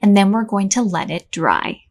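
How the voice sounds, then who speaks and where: A woman's voice: medium pitch, expressive, normal speed. A woman hosting a library storytime for preschoolers, reading aloud and giving instructions in simple, child-directed phrases.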